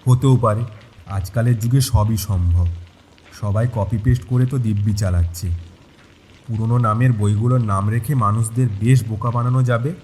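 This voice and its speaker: Male narrator for a horror audio story: fairly deep voice, first-person telling, rain sounds behind. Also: normal speed, darkish tone, a little hiss or hum.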